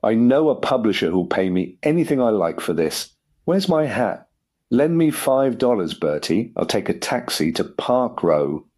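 A man plays an excitable young painter with a raised, lively voice that leaps about in pitch. The sound is husky. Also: moderate pace. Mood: elated. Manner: anxious, then wildly excited.

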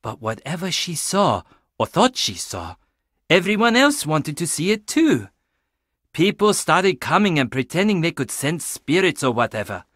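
A young man from a video game, easygoing and skeptical, with a fairly high voice. He chats casually and wryly, his pitch swinging in a lively way. The sound is close and dry. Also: unhurried, dry recording, exaggerated voice. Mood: uneasy, hushed. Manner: casual, chatty, wry. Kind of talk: video game character dialogue.

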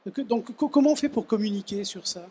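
High-voiced male panel host. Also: a little fast, mellow, husky. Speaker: host, moderator. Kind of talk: moderating a panel.

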